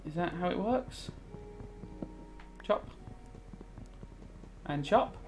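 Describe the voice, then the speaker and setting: A British man in his thirties with a fairly high voice talks casually as a teacher. He rambles and thinks aloud, moving between explaining a subject and musing over a video game. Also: lively intonation, drawn-out words, faint music behind. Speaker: religious studies teacher. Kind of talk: casual revision commentary while playing a video game.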